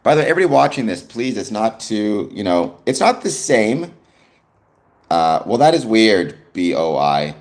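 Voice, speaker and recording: A young man with a Canadian accent talks casually to his live-stream viewers. His voice sits deep and low, yet his pitch swings about freely. He fills the pauses with ums as he answers comments from the chat. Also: big pitch swings. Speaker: livestream host.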